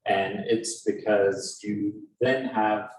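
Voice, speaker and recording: A man explains history to an audience as a panelist. He speaks in a halting, explanatory way, picked up from a distance in an echoey room with faint noise. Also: on the low side, fairly even intonation. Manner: explanatory, halting with many pauses. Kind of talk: informal history lecture to an audience.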